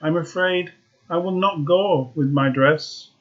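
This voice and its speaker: Snobbish young lady, raised pitch, dismissive, a man putting her on; dull lo-fi audio. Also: a little slow. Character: vain haughty young woman, professor's daughter.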